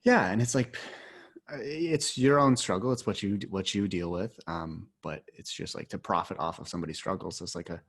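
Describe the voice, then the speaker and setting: Young man, twenties, lowish voice, casual podcast host, says like a lot. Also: fairly quick, breathy edge. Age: 20s. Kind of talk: casual conversational interview.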